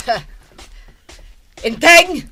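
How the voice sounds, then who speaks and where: Casting: female actor as exasperated Filipino household boss, bright voice, unhurried scolding, radio comedy. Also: very high-pitched, exaggerated voice. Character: bossy, exasperated woman of the household.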